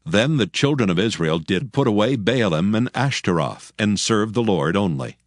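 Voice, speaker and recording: A man narrating scripture from a script in a solemn, measured, formal manner. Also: fairly low pitch, expressive, no echo, studio quality. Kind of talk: scripted dramatized Bible narration. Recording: faint music behind the voice. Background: faint background music.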